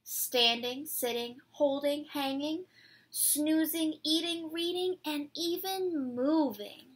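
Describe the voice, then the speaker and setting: A woman in her twenties reading a picture book aloud to children, with playful, deliberate, dramatic phrasing, recorded at home in a small room. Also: high voice. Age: 20s. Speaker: children's read-aloud presenter.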